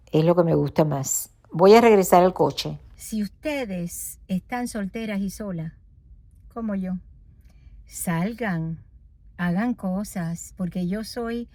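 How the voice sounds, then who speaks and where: A woman's voice: mid-range voice, a little mellow, dry recording, studio quality. Chatty woman vlogging over music. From raised in Los Angeles. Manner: chatty, rambling, changes topic often, laughs at herself. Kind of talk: casual vlog walking tour. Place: outdoor street corner at night.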